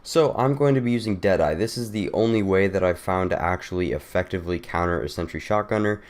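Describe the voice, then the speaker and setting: Low-voiced, congested young man. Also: quick pace. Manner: rambling, loose, many fillers and restarts. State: congested, nasal from a cold. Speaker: gaming YouTuber. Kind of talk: casual game guide walkthrough.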